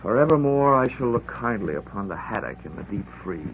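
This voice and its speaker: Hard-boiled investigator, sardonic, slangy, lively mid-range voice, vintage radio drama, muffled sound. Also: male voice, fairly low pitch, brisk, a little hiss or hum. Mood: dry, sardonic. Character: wisecracking, hard-boiled, world-weary male insurance investigator. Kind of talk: 1950s radio drama acting with first-person narration.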